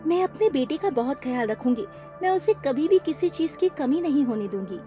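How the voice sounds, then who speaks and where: A woman's voice: high-pitched, expressive, normal speed, mellow, faint music behind. A woman voicing a loving, anxious young mother sparrow in a cartoon story, with an emotional, sing-song delivery. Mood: devoted.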